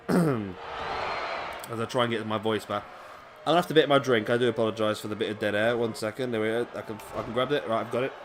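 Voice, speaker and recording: A man's voice: medium pitch, noisy background. Chatty man commentating a video game. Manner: chatty, rambling asides to viewers. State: voice going hoarse. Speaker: gaming commentator. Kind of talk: casual play-by-play commentary. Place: home room, late at night.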